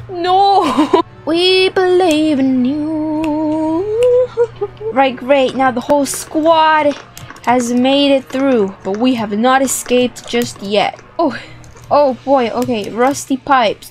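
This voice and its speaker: A young woman giving playful, excitable, dramatic commentary as she plays a video game. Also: high-pitched. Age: young adult. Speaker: gaming video host. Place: indoor room with plush toys. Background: music.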